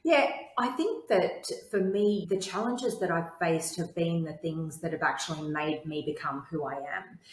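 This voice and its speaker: Woman guest telling her story, lowish voice, confident, roomy echo. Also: unhurried, few pauses. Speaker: guest, entrepreneur and TV host. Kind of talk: interview.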